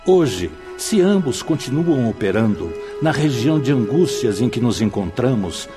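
A Brazilian man acting a solemn, fatherly spirit mentor who addresses an assembly in measured, preacherly radio-drama oratory. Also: mid-range voice, sing-song. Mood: reverent. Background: music.

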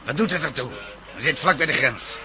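A man's voice: fairly high pitch, fast, pushed and bright, faint music behind. A man acting a stern, weary police commissioner in a radio drama, insistent and businesslike. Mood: resigned, weary. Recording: clearly noisy radio play sound.

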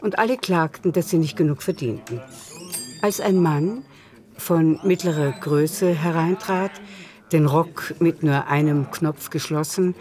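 A woman's voice: low-pitched, unhurried, a little mellow. A woman reading scripted literary narration as the narrator of a radio drama.

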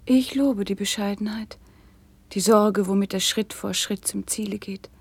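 Patient gentle princess, soft breathy voice, some fry, dry studio, lyrical verse, radio play. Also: female voice, medium pitch, no room sound. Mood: earnest, defending. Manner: soft, measured, lyrical delivery. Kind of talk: classic German radio play, declaimed stage verse.

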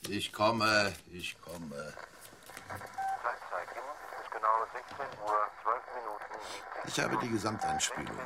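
A man's voice: lowish voice, expressive, a little slow, background noise. A man playing a put-upon, easily flustered radio announcer in a German radio play, fussily repeating himself. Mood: flustered, defensive.

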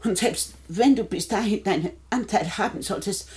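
An actress playing a rich, shrewd old widow in a 1960 German radio play, deliberate and wry, with halting repetitions. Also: female voice, medium pitch, a little mellow, natural voice. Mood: warning, knowing. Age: older.

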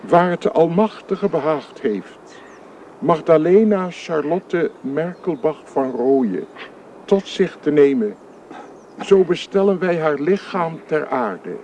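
Slow, solemn funeral minister. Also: male voice, on the high side, animated, a little mellow. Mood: solemn preaching. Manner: measured, oratorical, grave. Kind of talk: radio play acting. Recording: radio play recording with faint noise.

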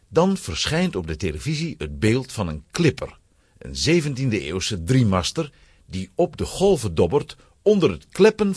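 A man's voice: fairly low pitch, husky, dry recording, studio quality. A man narrating a children's audio drama from a script, as a storyteller.

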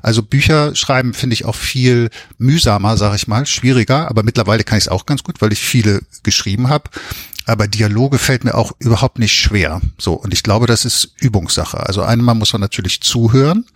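A man in his fifties with a fairly low voice chats as an interview guest. He is colloquial and matter-of-fact. The sound is close and dry. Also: a little fast, no room sound. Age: 50s. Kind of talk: casual interview chat.

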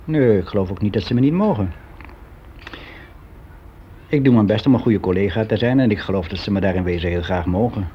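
Male actor as weary older clerk, slightly deep voice, reflective, confiding, halting, radio play, muffled recording. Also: slight background noise, natural voice. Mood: weary, complaining. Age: 60s. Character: weary middle-aged male office clerk, confiding, resigned, educated. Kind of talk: naturalistic radio drama monologue.

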